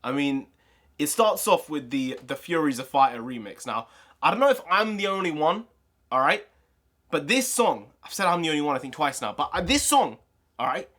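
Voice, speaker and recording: A congested young man, talking casually and rambling excitedly as a channel host. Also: a little high, moderate pace. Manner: rambling, excitable, full of restarts. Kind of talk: casual solo commentary.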